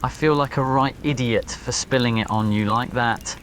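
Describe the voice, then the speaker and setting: Guy doing a prickly teen wizard boy, mid pitched, muttery and hesitant, audio roleplay. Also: normal speed, a bit bright, slight background noise, natural voice. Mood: sheepish. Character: proud teenage wizard schoolboy, prickly but awkwardly smitten.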